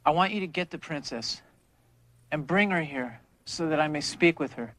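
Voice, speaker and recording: A man's voice: a little high, expressive, normal speed, fairly bright tone, rough recording. An actor playing a timid, lovesick young man in a fairy-tale drama, hesitant and pleading. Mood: nervous requesting.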